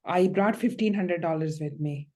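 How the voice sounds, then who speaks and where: A woman playing a visiting client in a mock hearing, answering questions in steady, explanatory sentences. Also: on the low side, moderate pace.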